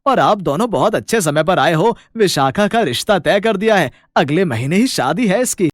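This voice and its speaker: A man voicing a humble, forgiving village farmer, an affectionate elder brother in an animated moral story. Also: sits high, normal speed, no room sound, clean studio sound, voice pushed up. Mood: welcoming.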